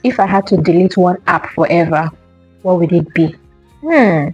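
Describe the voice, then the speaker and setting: A woman's voice: lowish voice, moderate pace, a little mellow, slightly rough, dry recording, highs cut off, soft background music. A young woman vlogger chatting casually to camera, answering questions and repeating herself with lots of fillers.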